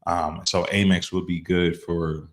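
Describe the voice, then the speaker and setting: A man's voice: sits low, little pitch movement, measured pace. A man hosting a casual reaction chat, laid-back and rambling, with lots of fillers. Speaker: host.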